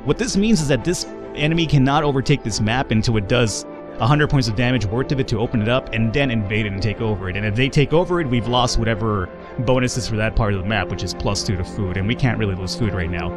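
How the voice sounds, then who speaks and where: Young male gameplay host at a home mic, rapid rambling delivery over clear game music. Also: mid-range voice. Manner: rambling, self-correcting, chuckles at his own slips. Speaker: let's play host. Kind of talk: gameplay walkthrough commentary.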